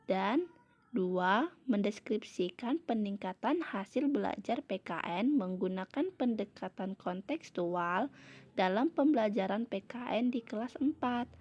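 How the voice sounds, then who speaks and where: A woman's voice: medium pitch, a little mellow, muffled recording. A young woman reads a research presentation aloud in a formal, recited manner, as a university student presenter. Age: young adult.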